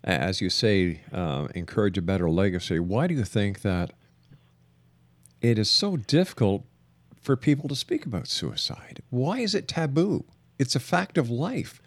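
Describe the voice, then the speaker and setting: A male radio host with a slightly low voice puts questions in an interview. He speaks haltingly, repeating words as he builds each question, and the sound is dry and close. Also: expressive, dry recording.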